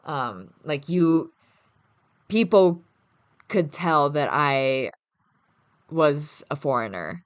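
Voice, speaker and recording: A woman's voice: sits low, lively intonation, extremely slow, long pauses, no echo. A young woman from Brazil, a guest chatting casually in an interview, laughing often between her thoughts. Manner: laughs often, hesitant fillers.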